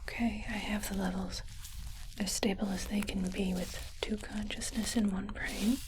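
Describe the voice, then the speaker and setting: A woman playing a calm alien translator technician in a whispered ASMR roleplay, speaking softly and carefully, like a reassuring clinician. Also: mid-range voice, moderate pace, noisy background, natural voice. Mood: attentive, clinical.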